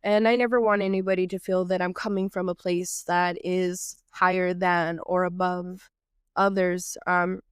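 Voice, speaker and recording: A woman's voice: lowish voice, flat delivery, normal speed, smooth, no room sound. Female podcast host from Texas, nasal from a cold, clean dry close-mic voice, earnest reflective delivery, improvised feel.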